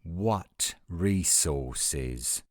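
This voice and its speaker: A man's voice: low-pitched, animated, drawn-out words, no room sound, studio quality, heavily acted. A man playing a testy, headstrong werewolf, terse and challenging, in an audio roleplay drama. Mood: irritated. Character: testy, headstrong male werewolf, protective of his pack.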